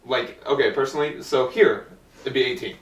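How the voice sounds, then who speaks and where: A man's voice: mid-range voice, brisk, roomy sound, far from the mic. A young man in casual podcast chat, rambling and swearing freely. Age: young adult. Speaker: podcast guest.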